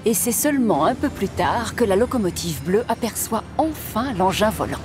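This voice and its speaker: A woman's voice: medium pitch, sing-song, normal speed. A woman narrator reading scripted storybook narration for a children's cartoon. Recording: music behind.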